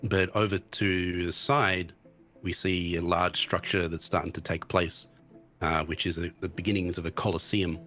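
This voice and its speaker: A man's voice: low voice, level tone, moderate pace, sharp tone, soft background music. A man guest streamer chatting casually about his game, hesitant with restarts, recovering from tonsillitis. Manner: hesitant, many restarts and ums.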